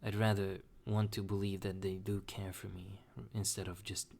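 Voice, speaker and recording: A young man talking slowly and hesitantly in a personal confessional monologue, with many restarts. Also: sits low, flat delivery, gravelly, a little mellow. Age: young adult. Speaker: vlogger.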